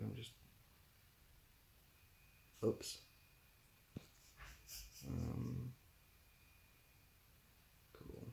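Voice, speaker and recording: A man's voice: medium pitch, creaky, a little mellow, slight background noise. A British-accented man chatting casually as a livestream host, answering viewers in a dry, easygoing, self-deprecating way. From Britain.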